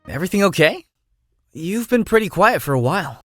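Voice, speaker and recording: Game role: idealistic chatty young noble, bright-eyed and breezy, high voice, very lively pitch, dry close sound. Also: male voice, brisk, no room sound. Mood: casual concern. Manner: talkative, breezy, theatrical. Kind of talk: video game voice acting.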